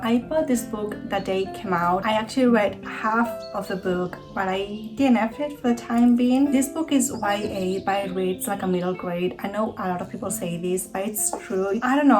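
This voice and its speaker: A woman's voice: mid-range voice, rough recording. A woman from Spain with a Spanish accent, talking casually and eagerly as a book vlogger, often correcting herself. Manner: chatty, enthusiastic, self-correcting. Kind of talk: casual book review talk.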